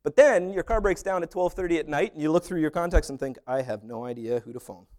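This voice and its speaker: A man preaching a sermon as pastor, animated, with humorous asides and little acted-out dialogues. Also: a little high, fast talker.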